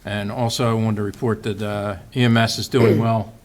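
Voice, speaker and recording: A man's voice: on the low side, flat delivery. A man on a township committee giving an informal, hesitant report at a meeting. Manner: halting, hesitant. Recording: faint room noise.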